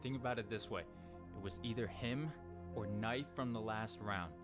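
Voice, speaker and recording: A man's voice: on the low side, moderate pace. A man reasoning step by step and assertively as he tries to persuade others in a game. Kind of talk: persuasive social-deduction game talk. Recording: loud music behind the voice. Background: loud music.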